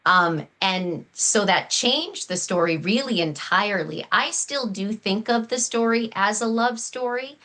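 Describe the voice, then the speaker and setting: A woman's voice: mid-range voice, a little slow, hardly any pauses, pushed and bright. A woman speaking as a guest author, explaining earnestly in full, flowing, articulate sentences. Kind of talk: explanatory interview talk.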